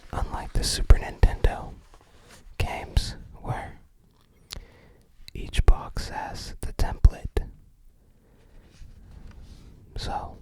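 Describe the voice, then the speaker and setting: An ASMR host narrating an unboxing in a breathy, unvoiced whisper, with gentle, rambling commentary.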